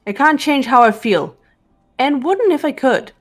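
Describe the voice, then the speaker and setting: A woman voicing a cool, determined teenage detective girl in a visual novel game, measured and reserved. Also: sits high, very animated, faint music behind. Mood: quiet resolve.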